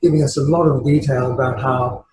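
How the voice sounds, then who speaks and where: A man's voice: medium pitch, fairly even intonation, brisk, darkish tone. A man in a video-call study group, tentative and thinking aloud as he comments. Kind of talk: casual discussion on a video call. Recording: echoey room with background noise.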